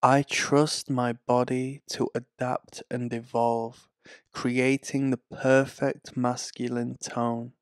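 A man reading guided affirmations in a calm, soothing, scripted way. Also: medium pitch, clean tone, dry recording, studio quality. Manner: slow, calm, soothing delivery. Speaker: affirmation narrator.

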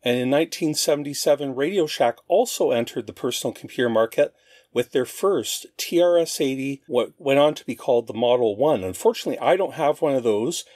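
A middle-aged man giving an informal show-and-tell narration as a host, explaining things in a measured way. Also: mid-range voice, a little mellow, no room sound, studio quality. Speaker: host of a retro computing show.

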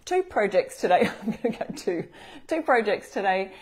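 A middle-aged Australian woman hosting a live craft tutorial, chatting with viewers and greeting them by name from a table in a bright room. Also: medium pitch, very animated, moderate pace, breathy edge. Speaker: craft demo host.

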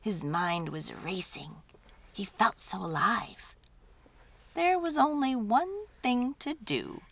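A woman's voice: mid-range voice, lively intonation, slow pace, creaky. A woman storyteller reading a picture book aloud as dramatic narration for children.

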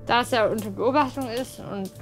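Blunt young woman, slightly high voice. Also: a little fast, over music. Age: 20s. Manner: blunt, offhand, matter-of-fact. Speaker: interviewee. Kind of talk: casual interview answers. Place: small apartment indoors.

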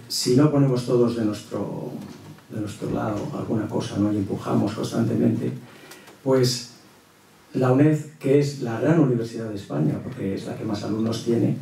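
A middle-aged man with a Castilian Spanish accent opens a lecture informally. His voice is dark and medium-pitched, and he often checks in with short tag questions. The sound is roomy, picked up from some distance. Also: gravelly, a little hiss or hum. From Zaragoza, Spain. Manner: conversational, frequent tag questions. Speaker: university professor, guest lecturer. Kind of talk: informal opening of a public lecture. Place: lecture room at a table. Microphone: gooseneck table mic, distant pickup. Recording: echoey hall, distant microphone.

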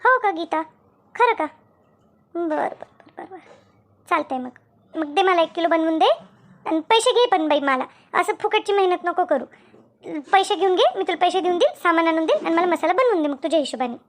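A woman voicing a brisk, bossy yet friendly village woman in a comedy cartoon, chatty and repetitive, with a Maharashtrian accent. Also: very high-pitched, animated. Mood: friendly, insistent. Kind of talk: Marathi comedy cartoon dialogue.

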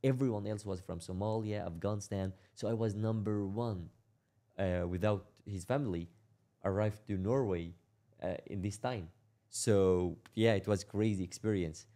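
A young man from Syria telling his life story in a casual interview, speaking in a halting way, repeating words and laughing as he talks. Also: lowish voice, mellow. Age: young adult. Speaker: interview guest.

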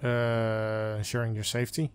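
A man's voice: fairly low pitch, monotone, normal speed, clear, resonant tone, soft background music. A man hosting a let's play, reading game choices aloud in a casual, hesitant way.

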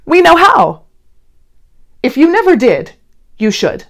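A woman, around her thirties, talking and reading to young children in an expressive, emphatic way. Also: high-pitched, takes it slowly. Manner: animated, emphatic, addressing children directly. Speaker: children's read-along host. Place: indoor room, seated before a plain blue wall.

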